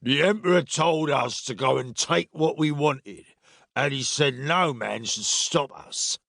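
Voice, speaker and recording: A man's voice: mid-range voice, a little slow, gravelly, a bit bright, dry recording, studio quality. A man voicing a drunken, belligerent male Roman soldier in an audiobook, boastful and bullying. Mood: swaggering.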